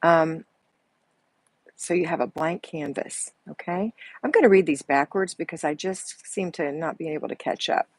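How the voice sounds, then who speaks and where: A soft-spoken woman, hosting a casual live chat and answering viewers like a chatty, motherly coach who calls them honey. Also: deep voice, normal speed, slightly rough, no room sound. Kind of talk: casual live-stream chat reading comments. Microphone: earbuds with mic.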